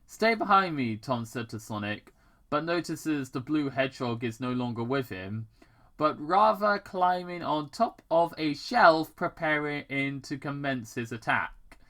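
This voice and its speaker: An amateur reader narrating fan fiction aloud in a halting way, stumbling and repeating words. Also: mid-range voice, lively intonation, a bit bright. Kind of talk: amateur story read-aloud.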